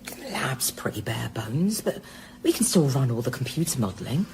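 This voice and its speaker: A woman's voice: very deep voice, very animated, normal speed, exaggerated voice. An actress playing a resourceful, anxious woman scientist in a naturalistic radio drama, conversational and matter-of-fact. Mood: practical.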